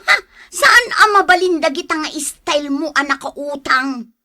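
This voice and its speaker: A woman's voice: high-pitched, animated, measured pace, pushed and bright, full character voice. A woman acting a bossy, merciless moneylender and nagging wife in a radio drama, with a scolding, demanding manner. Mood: angry, threatening.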